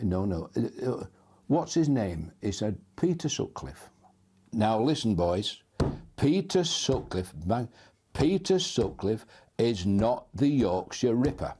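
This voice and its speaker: A man's voice: on the low side, dark tone, breathy edge. An older man, recalling past events in an interview. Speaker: interviewee recalling a police investigation. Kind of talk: interview recollection.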